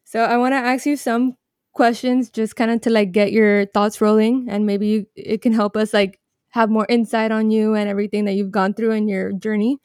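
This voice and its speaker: A woman's voice: medium pitch, flat delivery, moderate pace, darkish tone, clean tone, dry recording, clean studio sound. A woman co-hosting a podcast, speaking steadily as she welcomes listeners and asks questions. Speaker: podcast co-host.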